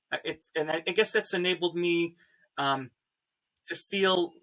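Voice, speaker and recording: A man talking reflectively on a panel, halting and repeating words as he gathers his thoughts. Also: fairly high pitch, sharp tone, recorded from a distance. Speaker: panelist, composer and performer. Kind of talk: reflective talk in a panel discussion.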